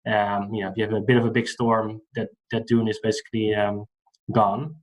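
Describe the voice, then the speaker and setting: A man's voice: on the low side, brisk, low-quality recording. Dutch-accented man giving an online lecture. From Netherlands. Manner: relaxed, hesitant with many fillers. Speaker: guest lecturer. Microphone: video call.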